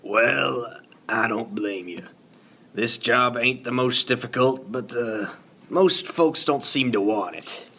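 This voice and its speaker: A man playing a laconic, folksy lighthouse keeper in a radio play, talking in a plain, unhurried, colloquial way. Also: medium pitch, bright, forceful tone, breathy edge, muffled recording, heavily acted. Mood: folksy, guarded. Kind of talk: 1950s-set radio play dialogue.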